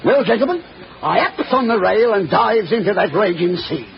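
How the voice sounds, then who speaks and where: An actor playing a garrulous, boastful old sailor with a gravelly voice, in 1940s radio style. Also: male voice, high voice, expressive, normal speed. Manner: rambling tall-tale yarn spinning. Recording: muffled, noisy old radio recording.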